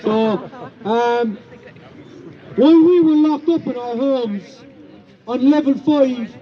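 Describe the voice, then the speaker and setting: An Irish man speaks at an outdoor rally with a big, carrying voice pitched unusually high. He delivers his points slowly and emphatically, repeating himself with indignation. The sound is somewhat dull and muffled. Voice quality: big loud voice. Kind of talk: outdoor protest speech.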